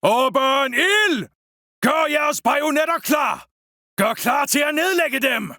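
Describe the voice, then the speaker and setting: A man voicing a high-pitched, shouting soldier in battle. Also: lively intonation, no room sound, clean studio sound, exaggerated voice. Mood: fierce, commanding. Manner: urgent, exclamatory shouting. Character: panicked male soldier yelling battlefield warnings and orders. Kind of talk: shouted video game battle voicelines.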